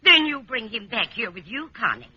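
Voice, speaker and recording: A woman's voice: on the high side, very animated, moderate pace, pushed and bright, slightly rough, no echo, heavily acted. A woman playing a kindly, meddling older landlady in an old radio sitcom, coaxing in a motherly, fussy way. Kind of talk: 1940s-50s radio sitcom acting. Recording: muffled, hissy vintage radio recording.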